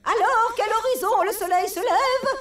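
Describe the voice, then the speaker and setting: A woman's voice: very high-pitched, normal speed, fairly bright tone, soft background music. A woman voicing an affected cartoon elephant lady reciting mournful poetry. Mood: mournful, theatrical. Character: affected, melodramatic female elephant amateur poet. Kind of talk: poem recital in cartoon dubbing. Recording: roomy, distant stage sound.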